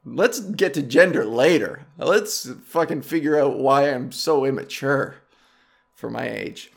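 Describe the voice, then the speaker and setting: A man's voice: medium pitch, measured pace, creaky, a little hiss or hum. A man in his thirties hosting a comedic podcast, rambling between tangents with lots of swearing and self-deprecating jokes. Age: 30s. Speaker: podcast host. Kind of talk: casual comedic podcast monologue.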